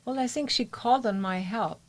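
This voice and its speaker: A woman's voice: fairly high pitch, fairly quick. A woman with an Austrian accent and German as her native language, speaking conversationally as an interview guest who teaches meditation.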